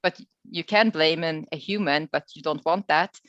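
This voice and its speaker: A woman researcher with a fairly low voice explains her points in an online Q&A. She speaks haltingly, repeating words as she searches for the next one. The video call sound is dry and somewhat dull. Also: fairly bright tone, dry recording. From Sweden. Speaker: guest researcher and speaker answering questions. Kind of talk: webinar Q&A, unscripted explanation.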